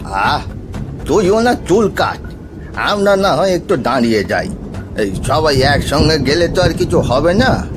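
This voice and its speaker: Man acting a high, animated village fishmonger. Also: unhurried, music in the background. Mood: urging. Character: village fishmonger man, chiding but soft-hearted companion. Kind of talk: animated horror story dialogue.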